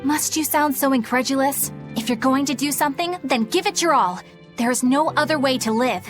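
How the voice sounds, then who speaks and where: A woman's voice: sits high, normal speed, faint music behind, natural voice. An actress playing a precise, earnest, prickly young woman who speaks formally and insistently in a video game. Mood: indignant.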